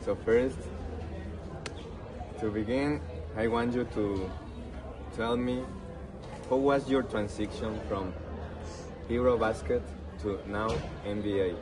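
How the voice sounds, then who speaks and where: A man interviewing someone, asking questions in halting, non-native English phrasing. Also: lowish voice, fairly even intonation, background noise. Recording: distant mic, busy crowd outdoors.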